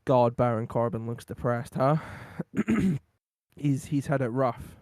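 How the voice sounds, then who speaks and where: A man with a worn, hoarse voice talks casually through a podcast recap, wandering from point to point with plenty of ums. His voice sits mid-range and the sound is close and dry, with no room around it. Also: medium pitch, fairly even intonation, measured pace, a little mellow, no echo. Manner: rambling, lots of ums and laughs. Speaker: podcast co-host. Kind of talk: casual wrestling recap chat.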